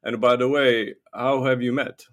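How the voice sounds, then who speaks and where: A man's voice: medium pitch, level tone, normal speed, a bit bright. A man hosting a podcast interview, asking his guests questions in a friendly, upbeat way. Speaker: podcast host.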